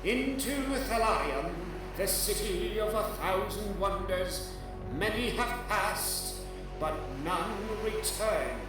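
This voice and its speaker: A man's voice: sits high, voice pushed up. A man voicing a solemn, bearded old guide of a mystical ship in a dramatic fantasy reading, with grave, ominous pronouncements. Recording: heavily echoing distant voice under loud music. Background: atmospheric music.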